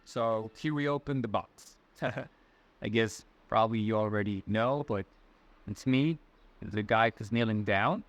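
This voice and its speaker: A man's voice: on the low side, unhurried. A man in his twenties from Ecuador with a Latin American Spanish accent, a guest answering interview questions in a friendly, hesitant way. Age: 20s. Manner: friendly, hesitant, many fillers and restarts. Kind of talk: casual conversational answers.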